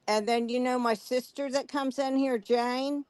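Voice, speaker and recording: Older lady host, 60s, kinda high voice, laughs a lot telling family stories, slow easy talker. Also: steady tone, a crowd in the background. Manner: chatty storyteller, quick to laugh. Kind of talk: casual chat.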